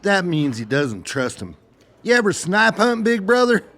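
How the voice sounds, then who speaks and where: Man as a high-voiced, eager little brother. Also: very animated, normal speed, fairly bright tone, heavily acted. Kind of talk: audiobook character acting.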